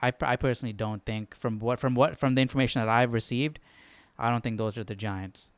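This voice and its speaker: A man's voice: mid-range voice, steady tone, a little fast, smooth, dry recording. A man hosting a Bible study in an informal way, thinking aloud and often checking in with the listener. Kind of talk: casual live study commentary.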